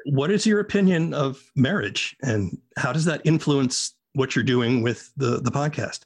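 A man's voice: mid-range voice, expressive, unhurried, breathy edge. A man with an American accent hosting a casual podcast interview. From southeast United States.

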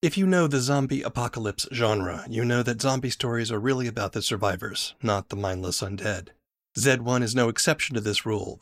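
A man hosting a podcast in a conversational, friendly-interview style. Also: medium pitch. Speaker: podcast host.

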